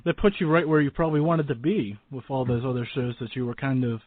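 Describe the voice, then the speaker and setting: A man's voice: medium pitch, fairly even intonation, fast talker, dry recording. A man hosting a casual interview, speaking informally and effusively in a rambling way, with narrow phone-like audio. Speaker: radio show host. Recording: narrow phone-like radio sound, dry.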